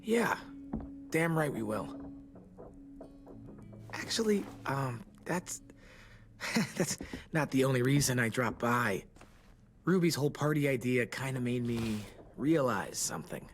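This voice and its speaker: A charming teenage boy talks in an American accent, hesitant and self-deprecating. The delivery is wry, natural video game acting. There is soft music behind the voice. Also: medium pitch, expressive, takes it slowly, long pauses. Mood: fond, earnest, then nervous.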